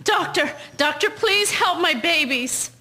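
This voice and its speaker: A woman's voice: very high voice, animated, measured pace, over music. A woman acting an earnest, emotional young wife and mother in a historical audio drama. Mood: anxious, urgent. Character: anxious, pleading young wife and devoted mother, 1910s.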